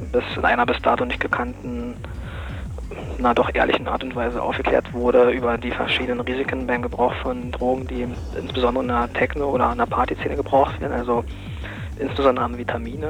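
Halting, flat-toned man with creaky voice. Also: medium pitch, low-quality recording. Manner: halting, explanatory. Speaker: interviewee, drug education association board member. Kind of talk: spontaneous interview answer.

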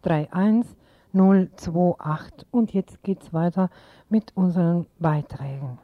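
Female news presenter, unhurried delivery, dry and slightly muffled lo-fi radio sound. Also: on the low side, no room sound. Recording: dull lo-fi radio recording.

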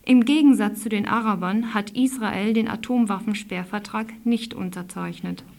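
A woman's voice: medium pitch, normal speed, creaky, a little mellow. A woman reading a scripted news report aloud as a radio news reader, formal, with an occasional slip.

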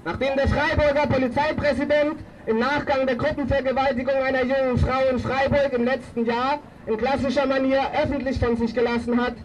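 A woman's voice: high voice, fairly even intonation, fairly quick, few pauses, dull audio. A woman speaking as a feminist activist at an outdoor demonstration, delivering a combative, declamatory political speech. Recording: distant outdoor microphone, loud street noise.

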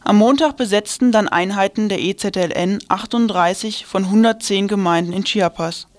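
A woman's voice: medium pitch, normal speed, darkish tone, dry recording. A woman reading a scripted radio news report.

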